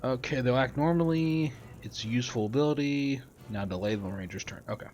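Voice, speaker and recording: A man's voice: medium pitch, a little fast, a little mellow, highs cut off. A man hosting a gameplay video, casually commenting and reading tutorial text aloud. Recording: faint background noise, game ambience.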